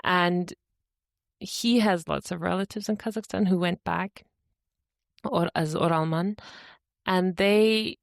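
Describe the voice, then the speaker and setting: A middle-aged woman co-hosting a podcast, chatting in a relaxed, lively storytelling way. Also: on the low side, slow pace, gravelly, long pauses, no room sound, studio quality. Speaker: podcast co-host. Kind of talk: casual chat.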